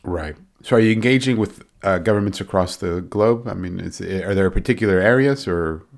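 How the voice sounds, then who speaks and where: A man's voice: sits low, moderate pace, gravelly. A man asking questions as an interviewer in a conversational way.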